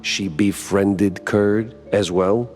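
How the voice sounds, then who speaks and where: An actor playing a wisecracking, deadpan hard-boiled mouse detective in a noir cartoon game. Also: male voice, on the low side, very slow, soft background music. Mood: wry. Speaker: private investigator protagonist.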